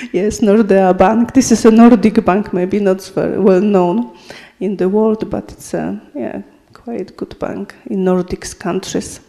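A woman from Poland with a Polish accent, introducing herself in a group and interpreting for another participant, often pausing to search for words. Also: mid-range voice, steady tone, gravelly, warm tone.

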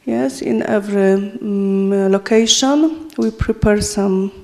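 A woman from Poland with a Polish accent, introducing herself in a group and interpreting for another participant, often pausing to search for words. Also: mid-range voice, creaky, a little mellow.

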